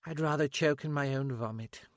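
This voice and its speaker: A man's voice: mid-range voice, normal speed, no room sound, studio quality, voice pushed up, close to an everyday voice. A man voicing a sardonic woman detective. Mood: wry. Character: dry-humoured female detective sergeant.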